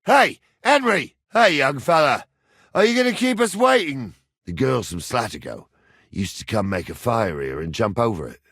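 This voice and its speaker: Gruff old soldier, animated and swinging. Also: male voice, low voice, normal speed, no room sound, studio quality, heavily acted. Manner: rustic, colloquial, cursing, ordering younger men about. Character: gruff, crotchety old medieval soldier, bossy and foul-mouthed. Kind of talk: video game character dialogue.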